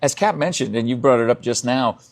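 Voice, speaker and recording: A man's voice: medium pitch, fairly quick, gravelly. A man hosting a casual, upbeat live interview as a radio host.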